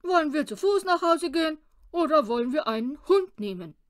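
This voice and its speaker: A woman voicing a small, cheeky male talking poodle telling tales in a children's storybook, loud and playful. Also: high voice, expressive, a little fast, no echo, clean studio sound, pitched above the performer's own voice. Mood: playful, chatty.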